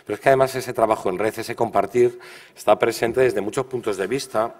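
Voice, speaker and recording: A slightly hoarse man with a Castilian Spanish accent giving an opening speech at a conference, discursive and building points through repeated lists. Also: mid-range voice, steady tone, brisk. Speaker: official opening the event. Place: large reverberant hall. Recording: long hall reverb.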